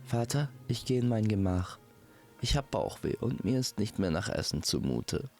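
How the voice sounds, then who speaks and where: A man's voice: on the low side, expressive, moderate pace, darkish tone, soft background music, voice pushed up. A man voicing a headstrong, haughty young princess in a storybook audio tale. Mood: curt. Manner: haughty, strong-willed.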